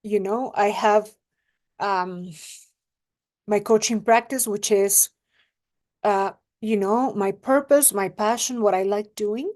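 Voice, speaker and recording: A young adult woman, a relationship coach giving advice in an unhurried, reflective way and often checking in with the listener. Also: mid-range voice, a little mellow. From Mexico. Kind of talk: casual advice monologue to camera.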